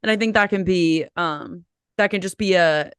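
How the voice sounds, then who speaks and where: A woman hosts a podcast in a smooth, clear, slightly low voice. The recording is dry and close, with no room sound. She rambles through long questions packed with casual fillers. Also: moderate pace, fairly bright tone, no room sound. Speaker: podcast host. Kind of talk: casual interview chat.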